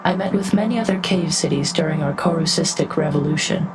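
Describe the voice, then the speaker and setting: Alien diplomat, female actor, low register, courteous and curious, precise measured wording, sci-fi game dub over prominent music. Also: lightly acted, poor audio. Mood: courteous, earnest. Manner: formal, precise, measured phrasing. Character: polite, curious female alien envoy, formal and thoughtful. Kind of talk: fan dub of a sci-fi game, character dialogue and inner narration. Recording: music behind the voice.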